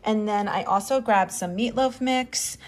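A woman's voice: medium pitch, a little slow, slight background noise. A woman chatting casually through a grocery haul, steadily listing items and what each one cost. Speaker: vlogger.

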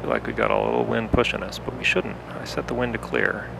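Gravelly-voiced man narrating a sim flight. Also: on the low side, steady tone, fast talker, background noise. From Salt Lake City, Utah. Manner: easygoing, talks himself through cockpit checklists. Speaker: home flight-sim pilot. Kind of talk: casual running commentary while flying. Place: home-built cockpit simulator in a basement.

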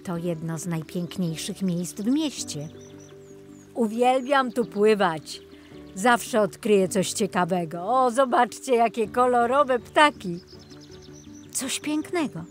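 An enthusiastic male tour guide from a children's storybook, as a woman narrator puts him on. He explains the sights slowly and with friendly exclamations. Music plays faintly behind. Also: medium pitch, lively intonation. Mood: delighted. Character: enthusiastic, friendly man guiding a city boat tour. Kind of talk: children's audiobook character.

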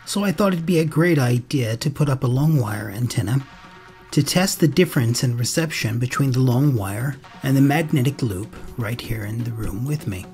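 A man's voice: mid-range voice, lively intonation, normal speed, darkish tone. Male radio-hobby narrator over music. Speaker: amateur radio channel host. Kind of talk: scripted tutorial narration.

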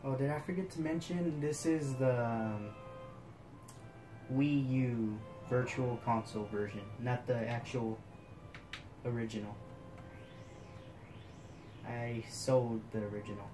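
A woman's voice: rumbling low, fairly even intonation, slow, pauses often, low-quality recording. A woman hosting a let's play, musing casually over the game and laughing often. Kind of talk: casual gameplay commentary. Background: game music.

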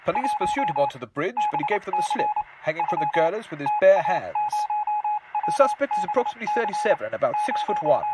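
A man's voice: high-pitched, huge pitch swings, fast talker, very noisy. A man playing a formal but easily smitten news announcer in a comic radio play. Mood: formal, admiring. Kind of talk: comic scripted radio bulletin.

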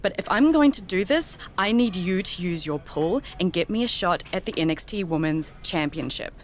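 A woman's voice: fairly low pitch, expressive, normal speed, a little hiss or hum, natural voice. An actress playing a scheming, ambitious woman wrestler with a sly, coaxing way of talking in a video game. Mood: eager, scheming. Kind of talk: video game story cutscene dialogue.

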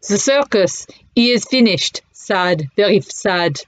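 A woman voicing a weary middle-aged French cafe owner, speaking in halting, broken English with a French accent. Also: medium pitch, animated. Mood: mournful. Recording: muffled lo-fi audio.

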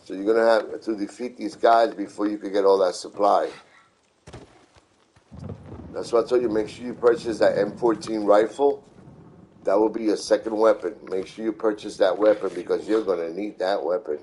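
A middle-aged man giving casual, unhurried live game commentary, repeating himself as he explains. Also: fairly low pitch, fairly even intonation, darkish tone, a little hiss or hum, poor audio. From New York City, United States. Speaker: video game streamer.

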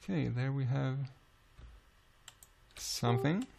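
Slow, gravelly man with a Swedish accent. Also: mid-range voice, noisy background. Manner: calm, unhurried explaining with frequent "uh" pauses. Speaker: music software tutor. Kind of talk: screen-recorded software tutorial.

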